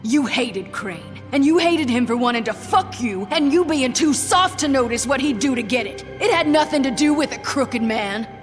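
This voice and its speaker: A woman's voice: high voice, lively intonation, moderate pace, few pauses. Bitter witch in a hall. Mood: accusing. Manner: pointed, resentful, unflinching. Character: bitter, accusing witch defending her livelihood. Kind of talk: video game voice acting. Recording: reverberant hall sound under music.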